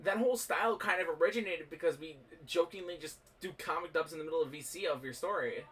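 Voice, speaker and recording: A man's voice: on the high side, fast, fairly bright tone, breathy edge. A man chatting casually as a panelist in a group voice call. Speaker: voice actor on a fan dub panel. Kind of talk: casual chat. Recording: distant microphone.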